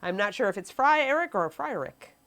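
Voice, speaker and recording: A woman's voice: mid-range voice, lively intonation, brisk, a bit bright. Sniffly woman teaching miniature painting. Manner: chatty, reads chat questions aloud, frequent ums. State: sniffly from allergies. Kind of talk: live-streamed painting tutorial.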